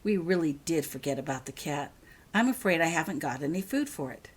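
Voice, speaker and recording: Lady reading as a kind sensible mom in an audiobook, husky and low. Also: animated, fairly quick. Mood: curious. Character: kindly, practical, reassuring mother.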